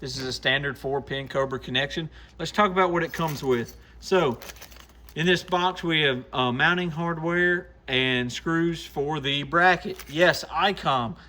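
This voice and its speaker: A man's voice: on the high side, a little slow, sharp tone. A man hosting an informal, plain-spoken product demonstration for a video channel, explaining things casually as a shop owner.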